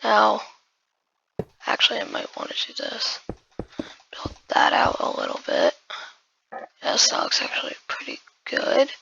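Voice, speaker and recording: A hoarse woman who has just woken up, giving casual gameplay commentary while building and often muttering in half-whispers to herself. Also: mid-range voice, huge pitch swings, measured pace, long pauses, bright, forceful tone, dull audio, a little hiss or hum. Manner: muttering half-whispered asides to self, addressing viewers as guys. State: hoarse, losing voice after just waking up. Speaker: gaming video creator.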